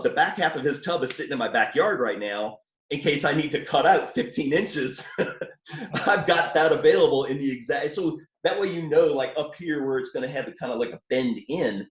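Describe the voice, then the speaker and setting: A man from New Orleans with a light Southern accent, explaining his Jeep build step by step in a relaxed, casual way. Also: mid-range voice, moderate pace, reverberant, lo-fi sound. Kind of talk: casual technical chat. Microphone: remote call.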